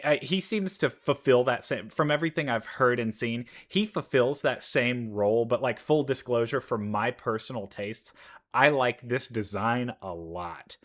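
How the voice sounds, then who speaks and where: A man giving casual, enthusiastic reaction commentary, halting as he thinks aloud. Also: mid-range voice, fairly bright tone. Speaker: reaction video host, gamer.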